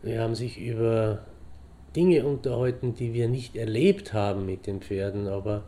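An actor playing a pensive middle-aged divorced horseman, reminiscing in deliberate, halting phrases. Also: lowish voice, warm tone, lightly acted. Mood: reflective. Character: pensive divorced hobby horseman fondly remembering a friend. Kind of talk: reflective anecdotal monologue in an audio drama.

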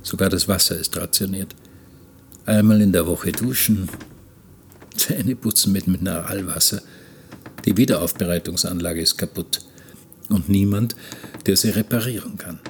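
A lonely seaman voiced by a man narrates a radio play in a low register. He is weary and sombre. A faint ambient noise runs behind him. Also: normal speed, long pauses. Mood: bleak. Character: lonely, weary seaman recounting life aboard a stranded ship.

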